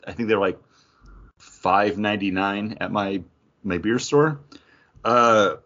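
A man with a fairly low voice talks as a podcast host in a casual review style. His delivery is conversational and full of slangy asides. The audio is slightly dull and lo-fi. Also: slow, fairly bright tone.

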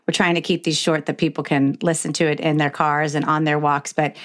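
Low-voiced middle-aged woman hosting a podcast. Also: little pitch movement, a little fast. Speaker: podcast host interviewing a guest. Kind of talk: heartfelt conversational interview.

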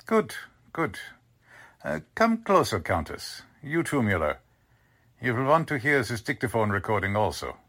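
A man's voice: medium pitch, big pitch swings, unhurried, a bit bright. A man playing a smugly polite, condescending Nazi commander in a 1940s-style radio drama, speaking formally. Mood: smug politeness.

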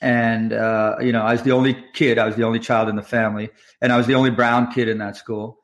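A man's voice: medium pitch, little pitch movement, fast talker. A man from Nova Scotia, a doctor turned filmmaker, telling his story in a loose, frank way and laughing easily. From Canada, Nova Scotia. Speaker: guest filmmaker. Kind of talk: casual storytelling interview.

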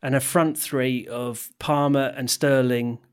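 A man's voice: medium pitch, fairly even intonation, slow pace, clean tone. A man co-hosting a casual football podcast, chatty and laughing often, with hesitant restarts as he reads out stats. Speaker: podcast co-host.

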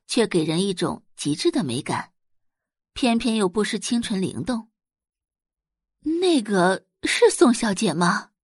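A woman reading scripted fiction narration as an audiobook narrator, in an expressive storytelling style. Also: medium pitch, husky, no echo, clean studio sound.

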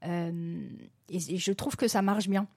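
A middle-aged woman answering interview questions about her work as a festival programmer, halting and full of restarts. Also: fairly low pitch, mellow, no room sound, studio quality. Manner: halting, many restarts and fillers. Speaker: festival general delegate.

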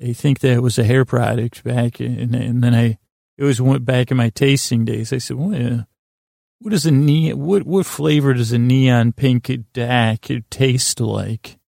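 A man hosting a sleep podcast with a rambling monologue, halting and stammering through false starts in a self-deprecating, meandering way. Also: medium pitch, gravelly, a little mellow, no echo, clean studio sound. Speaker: podcast host.

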